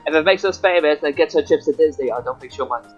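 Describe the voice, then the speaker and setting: A man's voice: on the high side, quick pace. Guy, young, jokey co-host, muffled lo-fi sound with faint music. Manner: jokey, rambling with fillers. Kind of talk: casual live-stream chat. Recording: dull lo-fi mic, faint music.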